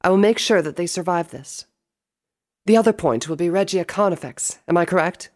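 A man's voice: high voice, moderate pace, pitched above the performer's own voice, lightly acted. A man voicing a regal, earnest pony princess in a storybook, formal and intense in manner. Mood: resolute.

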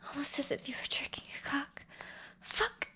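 A woman voicing an affectionate, ancient horned and tailed demon woman in an intimate audio roleplay, murmuring softly to the listener in a breathy whisper. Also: high voice, lively intonation, heavily acted. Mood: ecstatic. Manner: soft, slow, intimate murmuring.

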